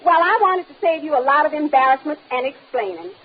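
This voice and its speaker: An actress playing a sharp-tongued, long-suffering wife in a vintage radio comedy. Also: female voice, sits high, expressive, fairly quick. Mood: earnest. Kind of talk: 1950s radio situation comedy acting. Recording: muffled, very noisy old radio recording.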